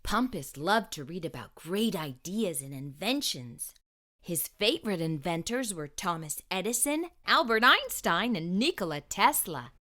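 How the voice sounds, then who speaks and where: A woman reading a children's picture book aloud as an expressive narrator. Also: on the low side, measured pace. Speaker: children's story reader.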